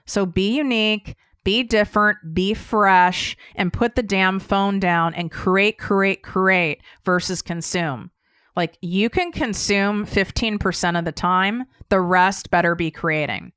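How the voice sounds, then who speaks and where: A woman's voice: mid-range voice, fairly even intonation, slow pace, fairly bright tone, clean tone, no echo, clean studio sound. An American woman hosting a podcast, speaking in a blunt, emphatic coaching style and casually swearing as she talks straight to her listeners. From United States. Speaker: podcast host. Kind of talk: casual solo coaching talk.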